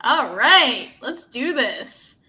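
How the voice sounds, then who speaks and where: Bright, high-voiced woman hosting. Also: big pitch swings, extremely slow. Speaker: show host. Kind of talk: casual hosting.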